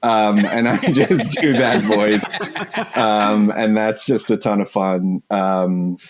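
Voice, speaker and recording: A man chatting casually as an interview guest, rambling and halting as he searches for words. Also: lowish voice. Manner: halting, rambling, heavy use of like. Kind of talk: casual chat.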